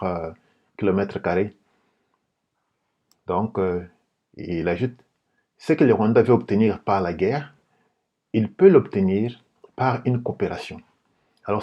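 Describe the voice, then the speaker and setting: A man with a Congolese French accent speaks as a political commentator. His voice sits fairly low and is noticeably husky. He explains things informally, halting and repeating points as he goes. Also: warm tone. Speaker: political commentator and video host.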